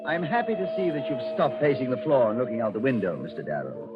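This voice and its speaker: An actor playing a coldly menacing, arrogant colonel, in 1940s radio style, formally polite and sardonic. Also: male voice, medium pitch, big pitch swings, fast talker, close to an everyday voice. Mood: cold, threatening. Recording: muffled 1940s radio recording with music.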